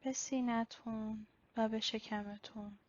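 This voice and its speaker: A woman leading a guided body-scan meditation in a slow, instructional way with pauses. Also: fairly high pitch, fairly even intonation, a little mellow. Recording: slightly dull, lo-fi recording.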